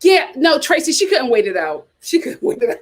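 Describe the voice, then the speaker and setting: High-voiced, animated woman host chatting. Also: fairly quick. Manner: animated, gossipy, opinionated, blunt; repeats phrases for emphasis. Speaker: host of a reality TV chat show. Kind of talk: casual live chat commentary, reacting to viewer comments.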